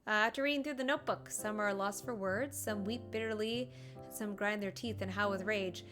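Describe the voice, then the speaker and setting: A woman's voice: mid-range voice, a little fast, fairly bright tone. A woman streamer giving casual live commentary as she plays a video game. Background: game music.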